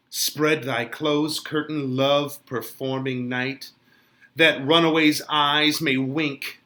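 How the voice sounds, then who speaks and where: Young lovestruck bride, played by a man, eager stage verse, very slow, dramatic, raised pitch, forceful delivery.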